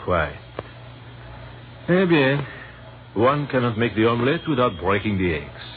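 Courteous French captain, old narrow-band radio. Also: male voice, medium pitch, sing-song, slight background noise. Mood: solemn. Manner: formal, courteous, measured phrasing. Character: courteous, philosophical French artillery captain in wartime. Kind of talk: old-time radio drama acting.

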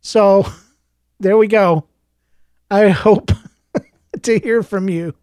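A man with a slightly gravelly voice reading aloud from his own book, then chatting hesitantly with his listeners as a podcast host, with lots of fillers and restarts. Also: high-pitched, very slow, darkish tone, no room sound, studio quality. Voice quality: a little gravelly.

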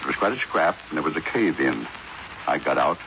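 A man's voice: a bass voice, animated, a little fast. A man acting a guarded, blunt older business magnate in a 1950s radio drama, speaking imperiously. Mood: guarded, confiding. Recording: dull, lightly noisy 1950s radio recording.

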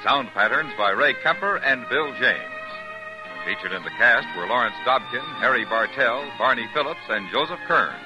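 Vintage radio announcer guy reading credits, lively pitch, music playing behind. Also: normal speed, rough recording.

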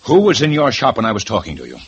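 An actor playing a relentless police lieutenant, talking in a clipped, demanding way in a 1950s radio drama. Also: male voice, on the low side, wildly swooping pitch, rapid-fire, slightly rough, muffled recording, exaggerated voice. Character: hard-driving male police lieutenant, relentless.

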